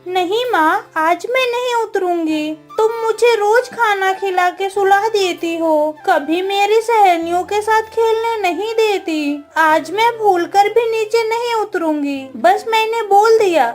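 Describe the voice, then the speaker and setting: A woman's voice: very high-pitched, measured pace, few pauses, faint music behind. A woman voicing a playful, stubborn seven-year-old girl in a cartoon story, whiny and childish. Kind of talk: Hindi cartoon story acting.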